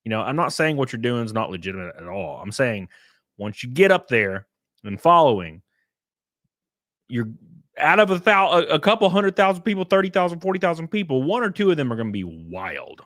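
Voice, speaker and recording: A man's voice: mid-range voice, very animated, brisk, a bit bright, no echo, studio quality. A man hosting a stream, giving casual, rambling commentary in blunt, slangy language. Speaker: streamer, host of a reaction stream.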